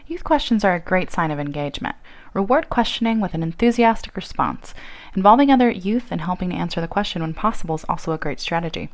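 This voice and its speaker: A woman reading scripted instructional narration in a clear, explanatory way. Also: on the low side, expressive, quick pace. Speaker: narrator of a training video.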